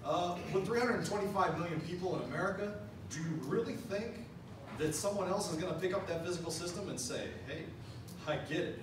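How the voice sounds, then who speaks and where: A man working as an engineer, giving an explanatory pitch with rhetorical questions to a meeting. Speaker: human factors engineer. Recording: echoey room, mic far off, noisy.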